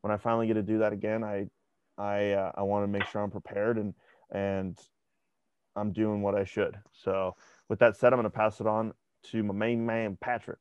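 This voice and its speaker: Relaxed young man talking sports, lo-fi. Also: lowish voice, moderate pace, clean tone, no room sound. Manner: conversational, repeats words when restarting. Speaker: podcast co-host. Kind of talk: casual sports chat. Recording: dry, dull lo-fi sound.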